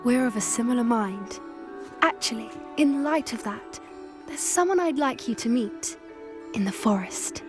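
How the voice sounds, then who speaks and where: An earnest British teenage schoolgirl from a fantasy video game, fond of creatures and a little secretive. Her voice is gentle and on the high side. Clear game music plays behind her. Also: animated, measured pace. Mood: shy, friendly. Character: earnest, secretive teenage schoolgirl who loves magical beasts.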